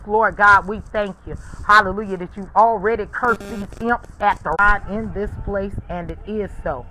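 Fervent, high-voiced preaching man. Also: slight background noise, rough recording. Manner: forceful, repetitive praise exclamations. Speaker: preacher leading a prayer line. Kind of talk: fervent charismatic prayer, chanting rebukes.

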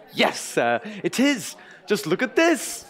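A man playing an ambitious, excitable court songwriter in a theatrical historical comedy audio drama. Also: sits high, takes it slowly, full character voice. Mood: elated. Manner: theatrical, excitable, stammers in panic.

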